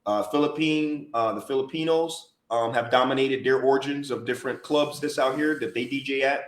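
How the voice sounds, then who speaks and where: An emphatic American man talking through a casual podcast, pressing his points and circling back to them. His voice sits at a middle pitch. The recording has a noticeably roomy echo. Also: a little fast. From United States. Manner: emphatic, conversational, repeats for emphasis. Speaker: podcast co-host and DJ. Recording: roomy echoey podcast audio.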